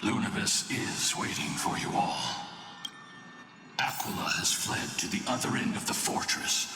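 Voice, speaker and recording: An actor playing a solemn, compassionate guide in a fantasy game, hushed and breathy. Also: male voice, unhurried, natural voice. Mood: calm urging. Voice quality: breathy, whispery. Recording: distant, echoing, noisy ambience.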